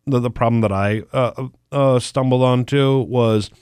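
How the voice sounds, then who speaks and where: A man's voice: medium pitch, fairly even intonation, darkish tone, clean tone, no echo, studio quality. A congested man co-hosting a casual chat podcast, rambling and stumbling over his words. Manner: rambling, halting with many restarts. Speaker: podcast co-host.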